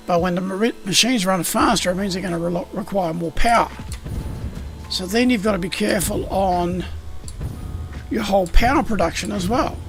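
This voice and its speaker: A man streaming a factory-building game, explaining it in a rambling way with a slightly croaky voice. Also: sits high, fairly bright tone. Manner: rambling, self-correcting, explanatory. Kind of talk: casual gameplay explanation. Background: game music.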